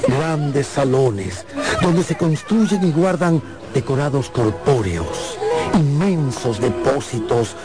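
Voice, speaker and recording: A man's voice: fairly high pitch, big pitch swings, slow pace. A man narrating a radio drama in a theatrical, scripted style, drawing out words for emphasis. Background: loud music.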